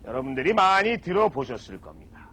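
A man on a comedy stage giving a mock lecture, drawing out his words slowly for emphasis. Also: mid-range voice, very animated, sharp tone. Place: stage with live audience.

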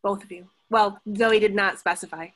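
A woman moderator reading out audience questions in a plain, measured way. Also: mid-range voice, steady tone, fairly bright tone.

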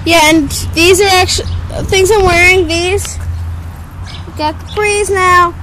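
A woman's voice: extremely high pitch, steady tone, measured pace, fairly bright tone. A teenage girl filming on a handheld phone in a backyard, teasing and giggling as she chats. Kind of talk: playful backyard chat. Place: outdoor backyard. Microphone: handheld phone camera. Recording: outdoor phone recording, faint noise.

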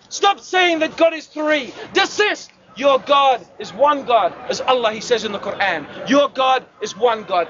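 A man preaching outdoors to a crowd, shouting fiery challenges and punctuating his points with a sharp "huh?". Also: extremely high pitch, slight background noise. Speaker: street preacher. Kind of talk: open-air shouted preaching to passers-by. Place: outdoors in a city, crowd around. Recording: dull lo-fi outdoor recording with crowd.

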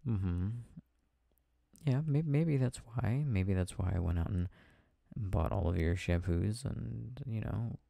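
Man as tender, teasing boyfriend for ASMR roleplay; low, very mellow voice, soft and close. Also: takes it slowly, creaky, no echo, full character voice. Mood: shy, affectionate, then playful teasing. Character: affectionate, tired, playful boyfriend getting ready for bed. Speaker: audio roleplay performer. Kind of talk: intimate boyfriend ASMR roleplay, casual chat.

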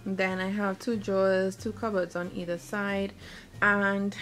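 A woman's voice: mid-range voice, flat delivery, a little slow, a bit bright, rough recording. A woman in her twenties chatting casually to a handheld camera in a bedroom, rambling and correcting herself as she goes. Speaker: vlogger. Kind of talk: casual vlog chat. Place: small bedroom, indoors. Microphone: handheld camera at arm's length.

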